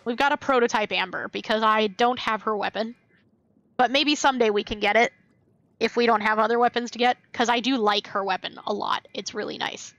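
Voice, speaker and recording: High-voiced woman streaming a video game. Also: a little fast, gravelly, fairly bright tone, dry recording, muffled recording. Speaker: game livestreamer. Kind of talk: casual livestream chat while playing.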